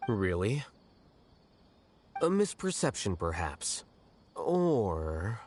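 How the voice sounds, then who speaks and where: An actor playing an aloof, rational young scholar-official in an anime game, with a dry, deadpan delivery. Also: male voice, fairly low pitch, very slow, a little hiss or hum, heavily acted. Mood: indifferent. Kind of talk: anime video game dubbing.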